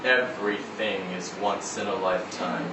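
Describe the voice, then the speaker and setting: A man voicing a big, weary, gruff male drifter in a story reading, terse and serenely cryptic. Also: low-pitched, flat delivery, normal speed, voice pushed down, natural voice. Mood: musing. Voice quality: gruff, rough. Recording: roomy, distant, noisy live event recording.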